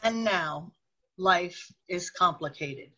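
A woman reading scripted narration for a radio drama in a measured storytelling manner. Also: lowish voice, lively intonation, sharp tone, highs cut off.

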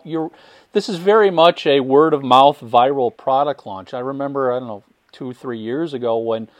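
A man's voice: mid-range voice, no room sound. A man hosting a casual interview, eager and upbeat, often stumbling and restarting his sentences. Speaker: podcast host.

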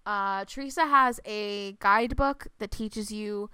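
Woman in her twenties, bright and crisp voice, chatty with lots of fillers, slightly congested, casual podcast chat. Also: medium pitch, measured pace, no echo, clean studio sound. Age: 20s. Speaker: podcast co-host.

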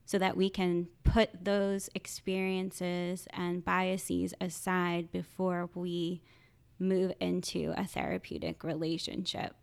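Woman, social worker guest, gravelly, low-ish voice, halting, laughs often. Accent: Philadelphia. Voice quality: high-pitched by her own account. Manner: halting, frequent restarts and laughs. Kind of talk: casual interview chat.

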